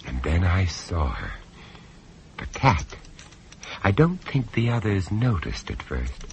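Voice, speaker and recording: An actor playing the male narrator of a radio horror tale, measured, urbane and ominous. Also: deep voice, wildly swooping pitch, slight background noise, full character voice.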